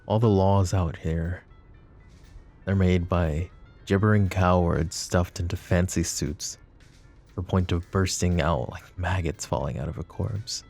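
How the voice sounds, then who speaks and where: Mafia boss, played by a male actor: very low, dark, coaxing and possessive, for intimate ASMR roleplay. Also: fairly even intonation, faint music behind, heavily acted. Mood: brooding, commanding. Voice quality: low, gravelly murmur. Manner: deliberate, coaxing, philosophizing.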